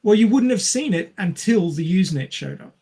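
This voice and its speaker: Higher-voiced man, explaining casually. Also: lively intonation, brisk, vocal fry, dark tone, husky. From Australia. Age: middle-aged. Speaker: podcast co-host.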